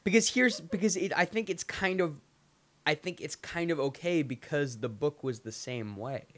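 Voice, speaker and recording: A woman giving her take on a film in casual banter, emphatic and given to deadpan exaggeration. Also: sits low, moderate pace, dry recording. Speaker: guest reviewer.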